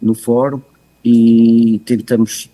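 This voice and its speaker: A man with a European Portuguese accent, joining by video call to give a measured, hesitant expert presentation with many pauses. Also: mid-range voice, little pitch movement, warm tone, poor audio. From continental Portugal. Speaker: guest speaker, cybersecurity expert. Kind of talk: presentation talk.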